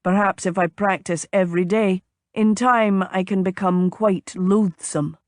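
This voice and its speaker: A woman voicing a courteous, gallant gentleman suitor in an audiobook, measured and formal in manner. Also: mid-range voice, fairly even intonation, no room sound, studio quality, close to an everyday voice. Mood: playful. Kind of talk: audiobook character dialogue.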